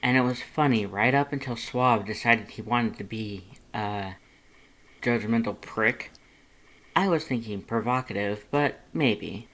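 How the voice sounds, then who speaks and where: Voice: medium pitch, measured pace, fairly bright tone. A performer voicing an affectionate, flirty male skeleton monster who soothes and coaxes with pet names in a fanfiction audiobook. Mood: playful, gentle. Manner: purring, murmuring, coaxing with endearments.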